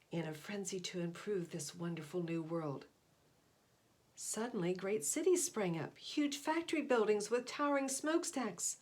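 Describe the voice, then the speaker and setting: A woman reading a picture book aloud to children, stressing key words expressively and speaking aside to her listeners. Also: mid-range voice. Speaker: volunteer picture-book reader.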